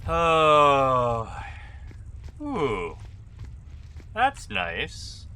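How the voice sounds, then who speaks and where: Slow, laid-back male game streamer. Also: medium pitch, huge pitch swings, bright, forceful tone. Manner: laid-back, wry remarks. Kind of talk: casual gameplay commentary.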